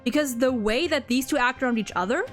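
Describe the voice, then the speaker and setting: High-voiced young woman chatting over music. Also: fast talker. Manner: enthusiastic, informal, peppered with "like". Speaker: video game streamer and discussion host. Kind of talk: casual chat, fan commentary on a game story. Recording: music playing behind the voice.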